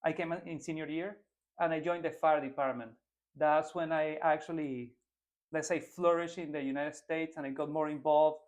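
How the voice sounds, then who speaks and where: A man's voice: fairly high pitch, fairly even intonation, normal speed. A man in his twenties from Venezuela, a native Spanish speaker with a Venezuelan accent, answering interview questions earnestly with frequent restarts as a political candidate.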